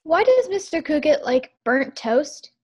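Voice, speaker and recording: A woman's voice: high-pitched, big pitch swings, measured pace, darkish tone. A woman playing a cheeky household maid in an old-time radio sitcom. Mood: chirpy.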